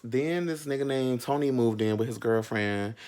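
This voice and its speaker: A man's voice: mid-range voice, normal speed, darkish tone, clear, resonant tone. A man in his thirties telling a personal story in a casual, sassy, slangy and candid way, with frequent asides. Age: 30s. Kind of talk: casual, animated storytime chat.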